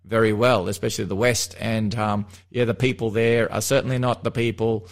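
A man's voice: fairly low pitch, brisk. Chatty Australian man on radio. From Melbourne, Australia. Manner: chatty, animated, jokey. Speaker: radio host and seminar presenter. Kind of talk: casual radio chat on faith.

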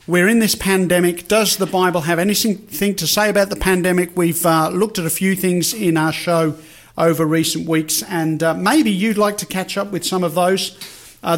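Radio host, higher voice. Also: male voice. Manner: relaxed, rambling with fillers.

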